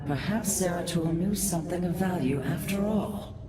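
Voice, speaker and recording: A woman's voice: on the low side, normal speed, lightly acted. A woman playing a stern, skeptical alien matriarch in a science fiction game, stately and guarded in manner. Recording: very echoey, loud music behind.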